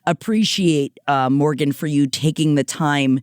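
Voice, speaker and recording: A woman's voice: low-pitched, unhurried, clear, resonant tone, no echo, studio quality. A woman co-hosting a casual podcast, supportive and chatty, rambling with restarts as she responds to a caller. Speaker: podcast co-host. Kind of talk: casual chat.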